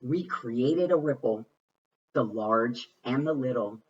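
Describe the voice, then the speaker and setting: A man giving an expressive read-aloud of a rhyming children's picture book, with deliberate, dramatic phrasing. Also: medium pitch, husky. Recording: muffled, distant microphone.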